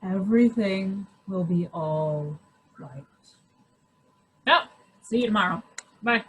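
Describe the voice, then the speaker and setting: A woman's voice: medium pitch, slow, a bit bright, a little hiss or hum, rough recording. A woman playing a soothing, reassuring professional in old-time radio comedy style.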